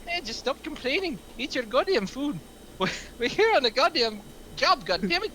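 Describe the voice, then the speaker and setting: Man acting an exasperated, very high-voiced hired crook. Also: sing-song, normal speed, sharp tone, slight background noise, heavily acted, poor audio. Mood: irritated. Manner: exasperated, sweary. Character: Denny, irritable foul-mouthed hired criminal, male. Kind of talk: amateur script table reading.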